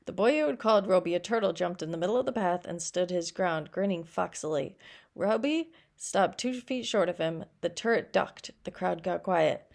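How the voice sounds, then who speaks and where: A woman's voice: fairly low pitch, expressive, moderate pace, gravelly. A woman reading a short story aloud as a teacher, stumbling now and then over words and adding explanatory asides.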